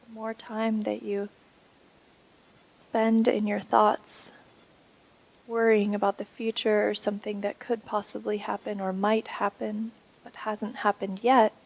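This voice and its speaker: A woman instructor leading a guided meditation in a soothing, unhurried way with pauses. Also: medium pitch, little pitch movement, gravelly, poor audio.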